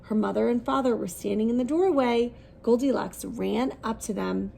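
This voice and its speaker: A woman's voice: on the high side, moderate pace, creaky, darkish tone, slight background noise. A woman reading a children's story aloud expressively, putting questions to her listeners as she goes.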